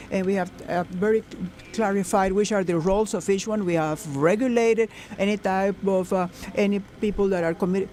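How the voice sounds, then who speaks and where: A man interpreting a conference panel into English live, halting, with many fillers and self-corrections. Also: high voice, darkish tone, a little hiss or hum. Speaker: simultaneous interpreter.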